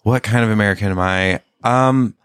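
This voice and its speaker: A man's voice: lowish voice, moderate pace, a bit bright, smooth, dry recording, studio quality. A man appearing as a comedian guest on a casual podcast, easygoing and wry.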